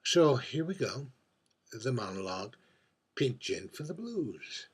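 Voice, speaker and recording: A man with a husky voice informally introducing a reading of his own writing, halting and hesitant as he goes. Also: mid-range voice, big pitch swings. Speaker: writer reading own monologue.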